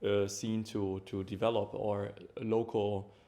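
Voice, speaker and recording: A man's voice: lowish voice, flat delivery, a little slow, warm tone. A man interviewing a guest, asking questions in a hesitant, thoughtful way with many fillers. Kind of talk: conversational interview.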